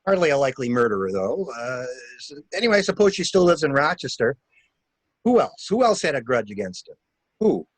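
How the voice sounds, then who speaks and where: Man acting a wry, probing stranger, animated delivery. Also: medium pitch, a little fast, slightly rough, no echo. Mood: wry, calculating. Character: shrewd, wry male stranger coaching a new widow. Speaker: library staff reader. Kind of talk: dramatic reading of a murder mystery.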